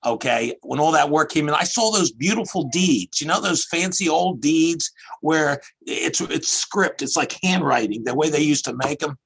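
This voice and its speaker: A man's voice: fairly high pitch, normal speed, creaky, a bit bright, husky, rough recording. A man in his sixties with a Philadelphia accent, a guest telling rambling stories about his work over a video call. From Philadelphia area. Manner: rambling, chatty storyteller. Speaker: guest, tax accountant.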